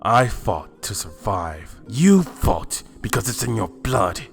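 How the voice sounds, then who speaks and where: A man's voice: on the low side, sing-song, slow, vocal fry, soft background music, full character voice. A man playing a stubborn, protective fighter father in a fan-made anime drama, guarded and reluctant. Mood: defensive.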